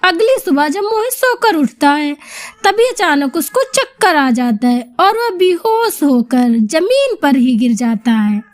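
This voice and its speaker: A woman's voice: high voice, very animated, a little slow. A woman narrating a scripted story as its storyteller.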